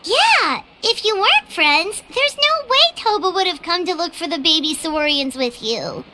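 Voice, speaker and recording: A woman voicing a tiny, chirpy, bossy fairy girl in a video game, with a childlike squeaky voice. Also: big pitch swings, moderate pace, noisy background. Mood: matter-of-fact. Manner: perky, refers to herself by name. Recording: loud game music behind.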